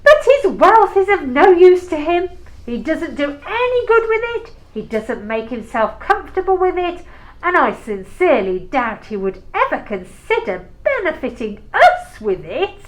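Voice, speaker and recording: A woman's voice: high-pitched, very animated, measured pace, poor audio. A woman playing a cheerful, good-natured young nephew in an amateur audio play, speaking in a jovial, theatrical way.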